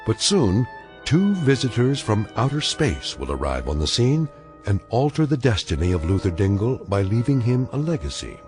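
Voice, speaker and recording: Radio play role: ominous, wry storyteller, expressive and sardonic, over prominent music. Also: male voice, on the low side. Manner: measured, sardonic. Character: wry, ominous male anthology narrator. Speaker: narrator. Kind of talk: scripted narration, radio drama.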